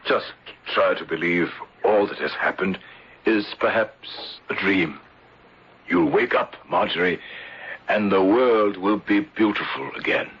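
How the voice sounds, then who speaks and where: An actor playing a grave, protective insurance investigator in an old-time radio drama, husky, measured and formal. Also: male voice, lowish voice. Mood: gentle, consoling. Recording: dull old radio broadcast.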